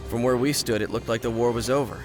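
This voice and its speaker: A man's voice: mid-range voice, steady tone, fast, over music, close to an everyday voice. An actor playing a wry, plainspoken American paratrooper who narrates his war in a reflective, matter-of-fact way. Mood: sombre, reflective. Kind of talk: scripted first-person war narration.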